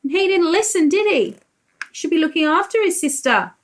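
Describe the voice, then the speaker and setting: A woman's voice: very high voice, expressive, fairly quick. A woman preschool teacher reading a picture book aloud to young children, explaining things and asking simple leading questions.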